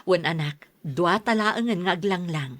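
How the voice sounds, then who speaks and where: A woman's voice: lowish voice, moderate pace, dry recording, natural voice. A woman with a Filipino Ilocano accent playing a patient, long-suffering middle-aged mother in a radio serial, pleading and soothing. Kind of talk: radio serial drama acting.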